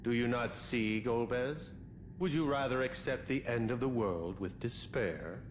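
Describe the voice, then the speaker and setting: An actor playing a haughty, scheming villain in a video game, formal and imperious, with music behind him. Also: male voice, medium pitch, unhurried, background noise, natural voice. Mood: accusing. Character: haughty, scheming male villain seeking godhood. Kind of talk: theatrical video game cutscene acting.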